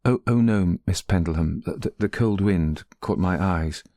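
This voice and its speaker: A man's voice: low-pitched, moderate pace, dark tone, slight background noise. Man voicing a frightened, hesitant, meek woman. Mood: meek, fearful. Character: frightened, downtrodden, tearful lady's companion. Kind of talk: audiobook character voice.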